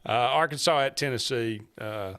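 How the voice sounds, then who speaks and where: A laid-back man hosts a casual sports show. He hesitates and leans on fillers as he reads out the schedule and reacts to his co-host. His voice is an ordinary man's pitch. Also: normal speed, fairly bright tone, clear, resonant tone.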